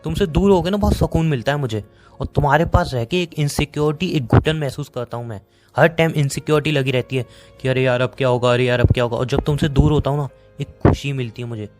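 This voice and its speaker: A man's voice: medium pitch, a little mellow, faint music behind, close to an everyday voice. A man with an Indian accent playing a sarcastic, dismissive young boyfriend in a phone-call romance drama. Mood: cold, dismissive. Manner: sarcastic, dismissive, rapid-fire. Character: bitter, sarcastic young boyfriend fed up with his girlfriend.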